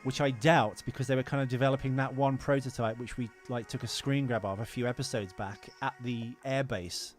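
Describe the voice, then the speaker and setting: A man's voice: medium pitch, steady tone, a little fast, few pauses, faint music behind. A British man co-hosting a podcast in casual film-review chat, rambling, opinionated and prone to swearing. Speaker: podcast co-host.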